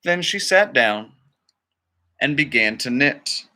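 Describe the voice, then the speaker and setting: A man narrates a children's picture book in a very bright, forceful voice. His pitch sits a little high and swings widely, and he phrases things dramatically at an unhurried pace. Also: animated. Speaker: storybook reader. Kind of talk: expressive children's read-aloud narration with casual asides.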